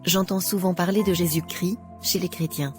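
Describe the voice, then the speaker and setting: Young romantic heroine for animation, female actor, courteous, quietly determined, slightly low voice. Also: fairly even intonation, moderate pace, natural voice. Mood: friendly. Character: sincere, softly determined young female student in love. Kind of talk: animated drama dialogue. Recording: music behind the voice.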